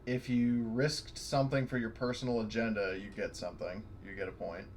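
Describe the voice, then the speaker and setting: A man's voice: lowish voice, fairly even intonation, a little fast. Guy at the game table reading the xp rules, um-ing a lot, slight background noise. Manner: hesitant, many ums, reading rules aloud. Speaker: player. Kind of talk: casual chat at a game table.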